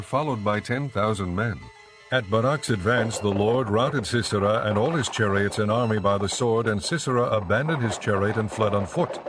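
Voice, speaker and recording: A man reading as the narrator of a scripted, dramatized scripture audiobook. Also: on the low side.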